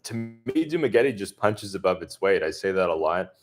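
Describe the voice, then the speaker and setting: A man from the southern US answering viewers' comments in a relaxed livestream chat, speaking with a deliberately neutral accent and many filler words. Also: low voice, soft background music. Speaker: expat vlogger answering live chat. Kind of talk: casual livestream Q&A.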